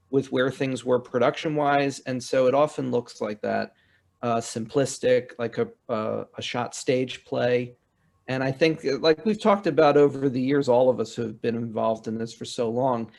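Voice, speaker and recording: A man's voice: mid-range voice, vocal fry. A man from the United States talking reflectively and articulately in a panel discussion. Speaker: panelist, publisher and writer.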